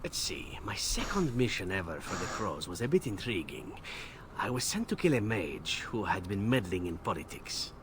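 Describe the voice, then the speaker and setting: An actor playing a roguish, flirtatious assassin in a video game, telling tales in a suave, glib, teasing way. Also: male voice, fairly low pitch, sing-song, moderate pace, fairly bright tone, a little hiss or hum. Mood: sly storytelling. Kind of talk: video game dialogue acting.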